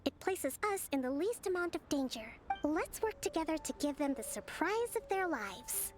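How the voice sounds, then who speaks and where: A woman playing a gentle, composed young military strategist in a video game, speaking in measured, formal phrases. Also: high voice, sing-song. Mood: calm, strategic. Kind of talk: scripted video game character acting. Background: background music.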